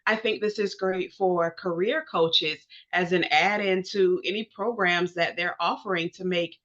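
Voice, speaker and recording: A woman's voice: fairly low pitch, fairly even intonation, a little slow, a bit bright. A woman from Georgia in the United States hosting a casual interview, speaking informally and affirming her guest. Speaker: interview host. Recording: dull lo-fi audio.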